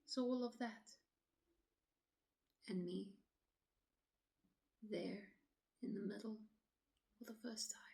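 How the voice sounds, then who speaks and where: A woman acting a shaken city woman in a dramatic stage monologue, pleading her account to police in a halting, often hushed voice. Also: medium pitch. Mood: haunted, fearful. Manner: halting, hushed, often breathy near-whisper.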